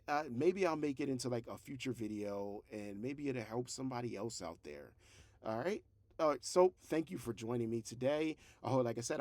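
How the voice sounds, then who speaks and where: A man's voice: medium pitch, darkish tone, no room sound. A man talking casually as a creator and host, giving encouraging, motivational advice and repeating phrases for emphasis. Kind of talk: casual advice talk to camera.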